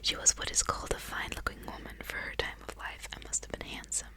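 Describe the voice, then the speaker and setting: A woman speaking in a breathy whisper, reading aloud in an ASMR style. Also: quick pace. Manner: casual playful asides between read passages. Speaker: ASMR host.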